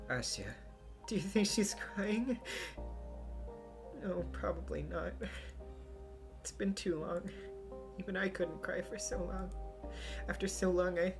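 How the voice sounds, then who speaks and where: A performer voicing a fragile, self-loathing Soviet schoolgirl with halting, formal speech in a visual novel reading. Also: close to an everyday voice. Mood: tearful, pleading. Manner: halting, tearful, breaks into strained high outbursts. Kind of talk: visual novel voice acting, first-person narration. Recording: music behind the voice.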